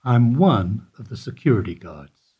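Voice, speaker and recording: An actor playing a weary bank security guard who gives dry, evasive answers in a radio play. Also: male voice, mid-range voice, sing-song, a little slow, warm tone, breathy edge, highs cut off, full character voice. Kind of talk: amateur radio play acting.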